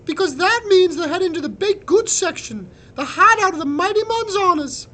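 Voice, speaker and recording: A man's voice: very high-pitched, expressive, normal speed, bright, forceful tone, voice pushed up, exaggerated voice. A man voicing a feisty young female potato resistance fighter from a story, bold and teasing, with an Irish brogue. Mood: fierce, eager. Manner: teasing, bold, folksy turns of phrase.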